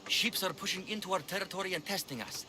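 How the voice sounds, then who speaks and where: A man's voice: high-pitched, fast talker, pushed and bright, slight background noise. A man playing an overworked, ruthless pirate lieutenant in a video game, blunt and world-weary. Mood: forceful, satisfied. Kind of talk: video game cutscene acting.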